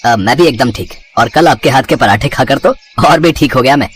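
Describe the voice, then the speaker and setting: A man playing an affable, flattering husband in a cartoon story, breezy and a little defensive. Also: mid-range voice, fairly quick, slight background noise, lightly acted. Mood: friendly, flattering.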